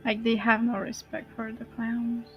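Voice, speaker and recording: A woman's voice: on the high side, completely flat delivery, moderate pace, highs cut off, over music. A woman co-hosting a casual live stream, giggling and making wry remarks. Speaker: streamer co-host.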